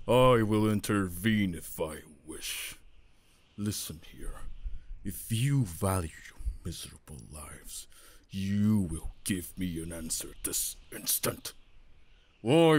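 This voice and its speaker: A man voicing a proud, anxious dragon in human form in an ASMR roleplay, with haughty, theatrical, brooding delivery. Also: lowish voice. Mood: furious, commanding. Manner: theatrical, brooding muttering with haughty archaic phrasing.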